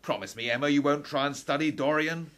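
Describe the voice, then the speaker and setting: Man acting as a bright-voiced aging professor. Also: fairly high pitch, a little fast, lightly acted. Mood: cautious. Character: aging professor scientist, protective Victorian uncle. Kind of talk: radio drama acting. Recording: roomy, set back from the mic.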